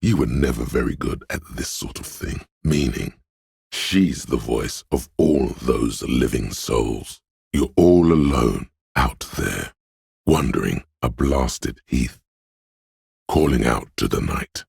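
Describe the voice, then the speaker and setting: A man playing a detective's ominous, dreamy intuition in a video game, speaking in a hushed, portentous, theatrical way with dramatic pauses and a gravelly, husky voice. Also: a bass voice, lively intonation, dry recording, clean studio sound, exaggerated voice. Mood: brooding, mysterious. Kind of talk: video game voice acting, second-person inner monologue.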